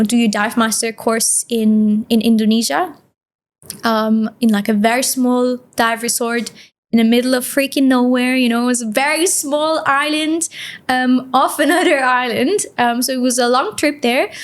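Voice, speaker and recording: A woman from Switzerland, a podcast guest telling her story in a chatty, animated way with many fillers. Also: high-pitched, normal speed. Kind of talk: conversational interview storytelling.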